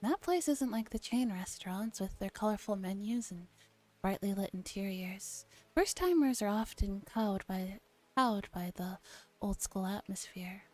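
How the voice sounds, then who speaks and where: A woman streamer gently reading a visual novel aloud in a soft ASMR style, with relaxed casual asides. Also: medium pitch, a little slow. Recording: music behind the voice.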